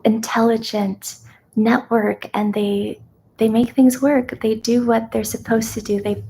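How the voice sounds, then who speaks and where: Soft-spoken young woman drifting into whispers. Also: mid-range voice, vocal fry, slight background noise, rough recording. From China. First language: Kazakh. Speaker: ASMR host. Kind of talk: live Q&A chat.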